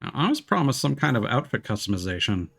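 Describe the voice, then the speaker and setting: A man's voice: medium pitch, sing-song, fast, creaky, fairly bright tone. A man giving laid-back, noncommittal commentary while livestreaming a video game. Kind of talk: casual gameplay commentary. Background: game music.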